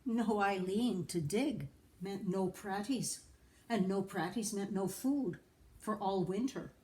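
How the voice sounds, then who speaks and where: A woman's voice: medium pitch, slow pace, mellow. A woman reading a children's folktale aloud as a storyteller, with dramatic emphasis and little asides to listeners. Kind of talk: expressive read-aloud narration of a children's folktale.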